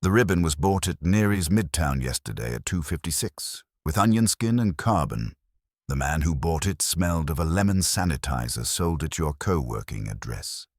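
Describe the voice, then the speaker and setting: A man playing an imperious, deep-voiced detective. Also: animated, normal speed, a little mellow, no echo, studio quality. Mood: coolly accusing. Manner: formal, mild-spoken, precise. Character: imperious, exacting male detective, measured and cutting.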